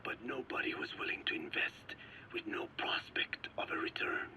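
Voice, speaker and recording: An actor playing a frail, regretful elderly Navajo scientist in a video game, speaking slowly and solemnly in a breathy, whispery rasp. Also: male voice, pushed and bright, poor audio. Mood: weary, resigned. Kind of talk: dramatic video game voice acting.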